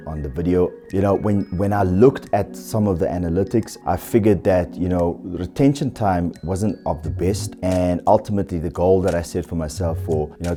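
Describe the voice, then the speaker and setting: A South African man talking through his channel mistakes in a measured, earnest, fairly monotone way, with small restarts. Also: deep voice. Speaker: YouTuber. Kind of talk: reflective vlog talking to camera.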